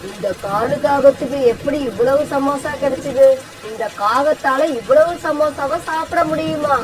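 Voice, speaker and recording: A woman's voice: sits high, normal speed, lightly acted, rough recording. A woman voicing an indignant, threatening eagle in a cartoon story. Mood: suspicious. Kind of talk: dubbed cartoon dialogue.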